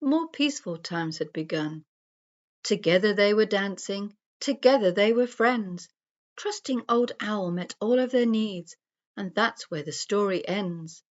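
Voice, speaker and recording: A woman storyteller reading a rhyming children's story aloud in a measured, singsong rhythm. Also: mid-range voice, lively intonation, highs cut off.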